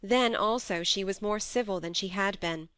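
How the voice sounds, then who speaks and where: A woman reading a classic novel aloud as a steady, measured audiobook narrator on a close mic. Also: medium pitch, clear, resonant tone, dry recording.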